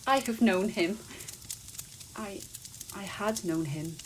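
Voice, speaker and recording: An actress plays a careworn mother in mourning for an audio drama. She speaks slowly and gently in a medium-pitched voice. There is faint noise in the recording. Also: close to an everyday voice. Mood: grieving, tender.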